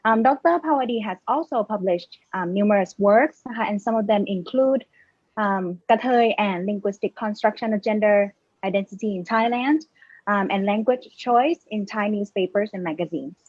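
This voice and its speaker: A woman's voice: medium pitch, normal speed, darkish tone, no echo. A woman moderating an online event, formally and hesitantly introducing the guest speaker and reading out her research areas. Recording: dull, dry lo-fi sound.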